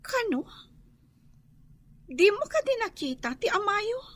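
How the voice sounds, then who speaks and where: An actress playing an injured young highborn woman, dazed and fearful, in an Ilocano radio drama. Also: sing-song, unhurried, no room sound, full character voice. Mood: wary, curious. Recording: noisy track with chirping birds.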